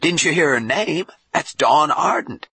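Man acting a brash, booming bishop, swinging pitch. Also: lively intonation, measured pace, bright, forceful tone, slightly rough, no echo, heavily acted. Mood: jovial, boastful. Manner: booming, folksy, salesman-like. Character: brash jovial bishop, a slick hard-selling showman. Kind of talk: audiobook character voice.

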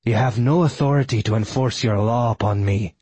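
A man's voice: medium pitch, fairly quick, dark tone, husky, no room sound, close to an everyday voice. Man playing a sneering, pompous villain god. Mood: defiant scorn. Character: arrogant, wrathful male god, sneering villain. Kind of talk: fantasy audiobook character voice.

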